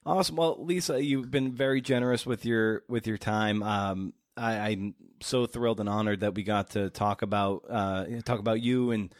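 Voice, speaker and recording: Male podcast host with a lowish voice. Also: steady tone, normal speed, hardly any pauses, dry recording, studio quality. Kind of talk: casual podcast hosting.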